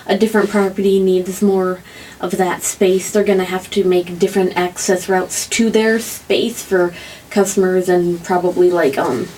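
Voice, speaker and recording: A woman co-hosting a casual podcast, asking hesitant, halting questions. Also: fairly low pitch, fairly even intonation, a little mellow, slight background noise, low-quality recording. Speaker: podcast co-host.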